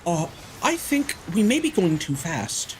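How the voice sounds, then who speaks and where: A scared little kid from a game story, voiced by a man, pitched well up high. The delivery is anxious and childlike, swinging around a lot. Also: very animated, a little slow, slight background noise. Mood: worried. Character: frightened, timid little village child. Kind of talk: video game character dialogue.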